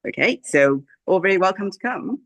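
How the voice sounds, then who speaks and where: A woman's voice: mid-range voice, expressive, normal speed, dry recording. A woman gallery organiser giving informal, unscripted announcements on a live call.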